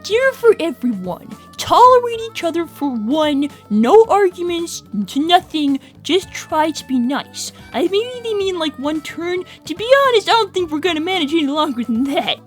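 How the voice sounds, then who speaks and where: A woman's voice: very high voice, big pitch swings, normal speed, few pauses, soft background music. A woman voicing a bossy, peppy young female hedgehog in a fan cartoon dub, with an exasperated manner.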